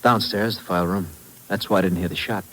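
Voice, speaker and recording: A man's voice: sits low, steady tone, fast, fairly bright tone, slight background noise. A man playing a shaken, nervous, defensive office executive in a vintage radio drama. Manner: nervous, apologetic. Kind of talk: 1950s radio drama acting.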